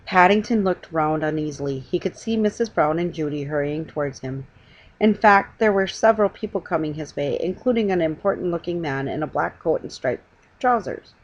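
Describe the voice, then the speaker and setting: A woman's voice: deep voice, slightly rough, muffled recording. A middle-aged woman reading a children's story aloud informally at home. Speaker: storybook reader. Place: living room at home.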